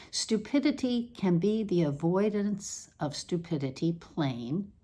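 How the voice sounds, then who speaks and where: A woman with a slightly low voice reads a literary essay aloud. Her delivery is deliberate and measured, and she places clear emphasis on her phrasing as she works through the scripted prose. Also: darkish tone.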